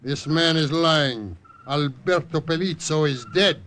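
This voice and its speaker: An actor playing a stern, suspicious wartime corporal, curt and officious, in an old radio drama. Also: male voice, on the high side, measured pace, close to an everyday voice. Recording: vintage radio broadcast, faint noise and clicks.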